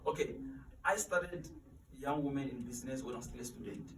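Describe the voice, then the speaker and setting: A man's voice: mid-range voice, a little fast. A man in his twenties, an interview guest, talking earnestly about mentoring students and often repeating words while he searches for them. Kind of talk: motivational interview talk. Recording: echoey room, distant mic, faint noise.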